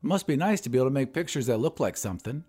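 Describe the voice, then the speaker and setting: Man playing a chatty, animated hospital orderly. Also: mid-range voice, very fast, soft background music, lightly acted. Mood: casual admiration. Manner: casual, colloquial.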